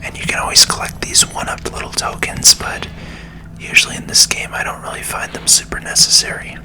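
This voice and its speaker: A person whispering ASMR commentary while playing a video game, in a gentle, unhurried manner. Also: poor audio. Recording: music under the voice. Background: game music.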